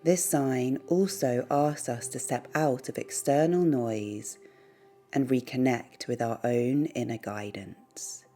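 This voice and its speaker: A woman leading a guided meditation in a soothing, gentle, unhurried way, pausing between short phrases. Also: rumbling low. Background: background music.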